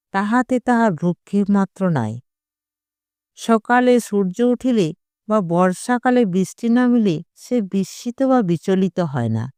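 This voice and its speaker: Clear, expressive, slow-paced story narrator. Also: medium pitch, no echo, clean studio sound. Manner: measured, dramatic storytelling delivery. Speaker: audiobook narrator.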